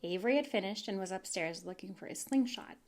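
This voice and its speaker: A woman teacher reading a children's chapter book aloud in a clear, expressive storytelling manner. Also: mid-range voice, fast talker.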